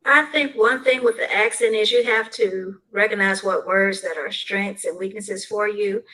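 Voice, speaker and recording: A woman from the southern United States answering interview questions, laying out her advice point by point in a measured way. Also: mid-range voice, lively intonation, sharp tone, low-quality recording. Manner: measured, advice-giving, lists points in order. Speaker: guest speaker.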